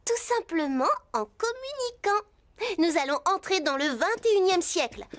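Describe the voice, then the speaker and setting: High-voiced take-charge girl. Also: very animated, normal speed. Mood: confident. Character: bright, organised, take-charge young girl at riding ranch. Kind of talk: animated cartoon French dub acting.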